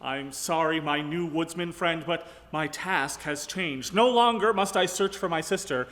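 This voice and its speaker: An actor playing an earnest, mock-heroic French-Canadian explorer in a comic live radio play, with formal, theatrical diction. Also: male voice, fairly high pitch, measured pace, fairly bright tone. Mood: shocked, dramatic. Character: earnest, melodramatic French-Canadian explorer, orphaned young hero. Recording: reverberant ballroom stage.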